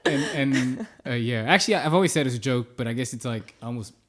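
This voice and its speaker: A man's voice: mid-range voice, fast talker. Casual man in his thirties, laughs easily. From born in the United States, grew up in many countries. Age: 30s. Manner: laughs often, relaxed and informal. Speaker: podcast guest. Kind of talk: casual chat.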